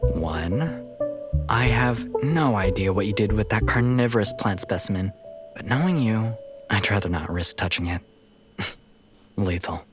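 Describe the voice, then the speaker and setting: Low-voiced teasing male scientist. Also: lively intonation, measured pace, pauses often. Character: teasing, flirtatious male scientist, dry and slightly anxious. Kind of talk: visual novel voice acting. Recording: loud music behind the voice.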